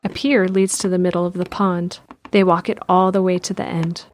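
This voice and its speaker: A woman narrating an audio drama in a calm, measured way. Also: on the low side.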